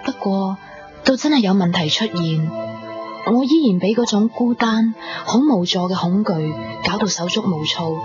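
A woman's voice: mid-range voice, animated, moderate pace, lightly acted. An actress with a Hong Kong Cantonese accent playing a sensitive, stubborn young daughter in a radio drama, who also narrates her own love story. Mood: melancholy. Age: young adult. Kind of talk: radio drama acting with reflective first-person narration. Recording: dull 1990s radio recording under music.

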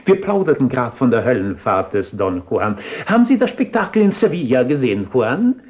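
An actor playing a worldly, eloquent bishop in a radio play, with a courtly, slightly pompous and wry manner. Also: male voice, medium pitch, very animated, normal speed, a little mellow, lightly acted. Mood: urbane, amused. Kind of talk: 1970 radio play acting.

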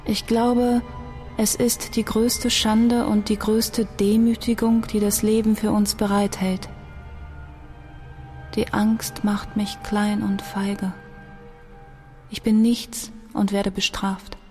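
An actress plays a young woman musician facing death in a radio drama monologue. Her voice sits at a middle pitch and moves very little. She is grave, inward and bitter, with faint music around her. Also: level tone, slow, darkish tone, natural voice. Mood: anguished, bitter.

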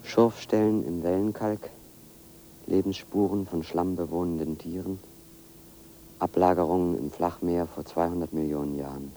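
An actor playing a solitary, brooding man who narrates his own walk in a measured, deliberate way, as in a radio play. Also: low voice, fairly even intonation, dark tone, a little hiss or hum, low-quality recording. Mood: brooding, observant. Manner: measured, deliberate, literary first-person narration. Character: solitary brooding man walking into a dark forest. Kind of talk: radio play interior monologue.

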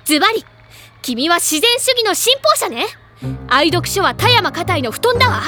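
An excitable teenage bookworm upperclassman with a high, girlish voice. She declaims and lectures theatrically, sure of herself, in an anime-style audio drama. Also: normal speed, faint music behind, heavily acted. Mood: smug, lecturing. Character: excitable, theatrical high-school literature-club girl, self-assured upperclassman.

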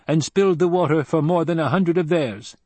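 A man's voice: fairly high pitch, brisk, warm tone, no echo, pitched above the performer's own voice, natural voice. A man voicing a proud male desert warrior in an audiobook, blunt and matter-of-fact, eager about battle. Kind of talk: audiobook character dialogue.

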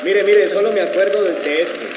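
An actor playing a drunk, melancholic army colonel in a live radio drama, halting as he rambles and corrects himself. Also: high voice, lightly acted. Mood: tipsy, nostalgic. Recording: roomy, distant, very noisy live recording.

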